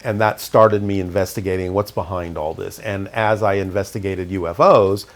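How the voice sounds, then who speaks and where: A middle-aged man, raised in California, calmly recounting his experiences as an interview guest. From Canada, raised in California. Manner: calm, measured, low-key delivery. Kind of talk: reflective interview storytelling.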